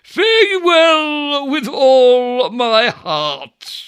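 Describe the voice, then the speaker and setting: An actor playing a scurrilous, sneering Greek camp fool in a Shakespearean audio drama, with theatrical, exaggerated mockery. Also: male voice, extremely high pitch, animated, drawn-out words, fairly bright tone, clear, resonant tone, full character voice. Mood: pompous parody.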